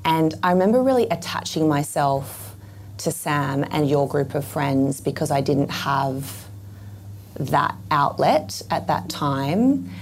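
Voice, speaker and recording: A woman in her thirties speaks in a measured, reflective way. Her voice is very low and croaky, with a gravelly fry. A faint hiss sits under the recording. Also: lively intonation, a little mellow. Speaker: guest. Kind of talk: reflective conversation.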